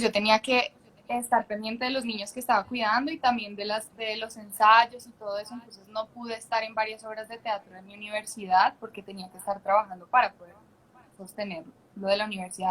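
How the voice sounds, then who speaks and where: Chatty Colombian woman, high voice. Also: a bit bright, poor audio. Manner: chatty and candid, laughs often, many restarts. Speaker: actress, guest. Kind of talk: casual interview chat. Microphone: video call.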